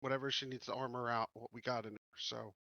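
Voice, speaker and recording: A man with a creaky, forceful voice of medium pitch chats as a game player. The sound is dry and somewhat lo-fi. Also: little pitch movement, fast, no echo. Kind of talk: casual chat. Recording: dull, lo-fi voice-chat audio.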